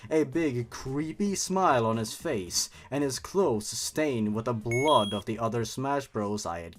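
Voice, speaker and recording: A man hosting with scripted narration and commentary, theatrical and sarcastic in manner. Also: mid-range voice. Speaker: YouTube host reviewing horror stories.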